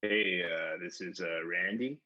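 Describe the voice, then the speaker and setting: A man calling in to a phone-in show, chatting casually in a halting, tentative way. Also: low voice, lo-fi sound. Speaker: phone-in caller. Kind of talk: hesitant casual phone chat.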